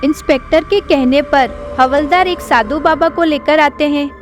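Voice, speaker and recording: A woman's voice: sits high, moderate pace. A woman narrating a scripted horror story. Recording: background music.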